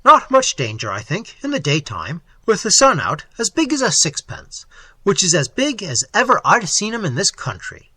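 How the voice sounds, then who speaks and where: A man's voice: high-pitched, wildly swooping pitch, normal speed, bright, forceful tone, slightly rough, no room sound, pitched above the performer's own voice, full character voice. A man voicing a jovial, coarse, talkative policeman telling tales in slang for an audiobook. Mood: sly, boastful. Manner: slangy, rambling, relishing his own tale. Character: jovial cockney policeman, coarse, talkative, fond of grisly anecdotes. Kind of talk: audiobook character voice.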